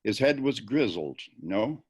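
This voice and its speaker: A brooding, grieving young prince, acted by an amateur male reader, with a lowish voice and a very unhurried pace. The verse is deliberate and theatrical, in the manner of a Shakespeare stage reading. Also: very animated, darkish tone, husky, exaggerated voice. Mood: troubled, probing. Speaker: amateur volunteer actor. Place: indoors, on a video call. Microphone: video call.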